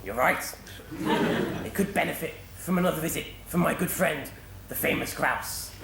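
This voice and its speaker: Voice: mid-range voice, normal speed, gravelly, background noise. An actor playing a washed-up, drunken old cat in a stage pantomime, rambling and melodramatic. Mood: boastful, bitter. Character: washed-up, drunken, self-pitying old alley cat, former rat catcher. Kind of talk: stage pantomime comedy acting. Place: theatre stage before a live audience. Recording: echoey theatre stage recording with audience.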